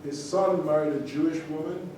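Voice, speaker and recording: A man's voice: fairly high pitch, fairly even intonation, normal speed, a little mellow, a little hiss or hum. A man telling a life story to a live audience between songs, talking conversationally with fillers and restarts. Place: concert venue. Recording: distant echoey hall recording with audience ambience.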